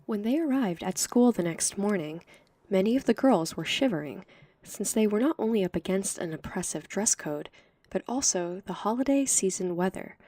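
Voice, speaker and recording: A woman's voice: medium pitch, animated, normal speed, mellow, no echo. A woman reading scripted fiction as an audiobook narrator.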